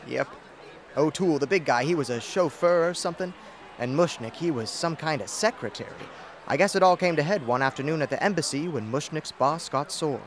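A man playing an easygoing bartender in a radio comedy, telling a yarn in a folksy, unhurried way. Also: on the high side, slight background noise, lightly acted. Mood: storytelling. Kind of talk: radio comedy play acting.

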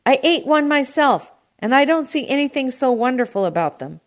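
Storybook role, read by a woman: exasperated produce dealer, blunt, shouting, pitched up. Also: sing-song, normal speed. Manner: shouting back bluntly over the phone.

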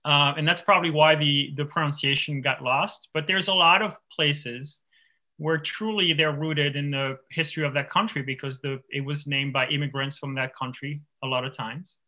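A man's voice: on the high side, fairly even intonation, normal speed, sharp tone. A man from France, an author, talking in a radio interview in a thoughtful way, with many restarts and fillers. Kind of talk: radio interview, reflective conversation.

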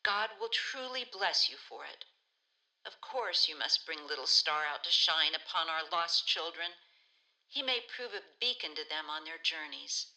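A woman playing a devout child-placement counselor in a community radio play, warm and playful in her talk. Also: low-pitched, lively intonation, normal speed, heavily acted, poor audio.

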